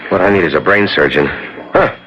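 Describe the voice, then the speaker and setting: An actor playing a harried, wisecracking emergency room doctor in a radio drama, wry and hurried. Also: male voice, low-pitched, natural voice. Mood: weary, urgent. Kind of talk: old-time radio drama acting. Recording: muffled, noisy old radio recording.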